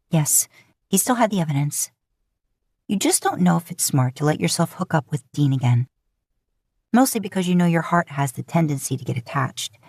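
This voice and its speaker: A woman with a low voice reads fiction as an audiobook narrator. There is plenty of creak in her tone, and the recording is close and very dry. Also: darkish tone, no echo.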